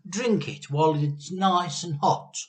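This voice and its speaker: Man putting on a sly, high, sugary husband. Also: unhurried, lightly acted. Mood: sly sweetness. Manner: sweetly coaxing, gleeful. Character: sly husband, sweetly coaxing his mother-in-law.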